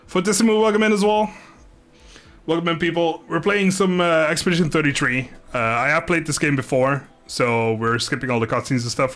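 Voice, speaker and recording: Animated, chatty male game streamer. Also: fairly high pitch. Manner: chatty, joking, laughs often. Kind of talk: casual live gaming commentary and chat.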